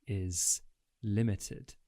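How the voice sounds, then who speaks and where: A man's voice: fairly low pitch, steady tone, drawn-out words, warm tone, dry recording. Sarcastic man role-playing a character. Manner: flippant, sarcastic. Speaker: player. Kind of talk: in-character roleplay.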